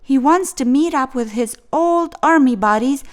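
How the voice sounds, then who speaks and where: A woman's voice: high-pitched, moderate pace, pitched above the performer's own voice, natural voice. A woman reading the lines of a chatty, adventurous young schoolboy in a storybook, eager and matter-of-fact.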